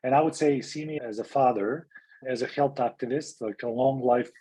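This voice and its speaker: A man from Serbia, a middle-aged physician and activist, gives a thoughtful interview answer. His voice sounds husky and a little breathy. He tells his story in a reflective way and often restarts his phrases. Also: medium pitch, moderate pace, mellow, low-quality recording. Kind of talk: interview guest.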